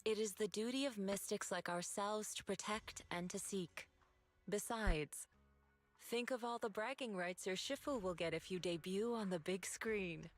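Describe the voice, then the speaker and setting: A woman voicing a calm, doting and teasing mystic master in an anime game, with measured, formal phrasing. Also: medium pitch, soft background music, lightly acted.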